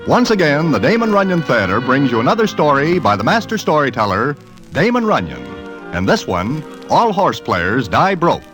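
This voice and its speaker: Old time radio announcer guy, slightly high voice, really swooping delivery, music under him. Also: sing-song, moderate pace. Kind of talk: scripted 1940s radio announcing.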